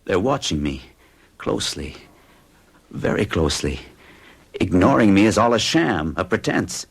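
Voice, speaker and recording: A hushed, dreamy hypnotized man. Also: low-pitched, big pitch swings, measured pace, creaky, breathy edge, full character voice. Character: uneasy man under hypnosis. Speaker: narrating lead character. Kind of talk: 1970s radio drama acting.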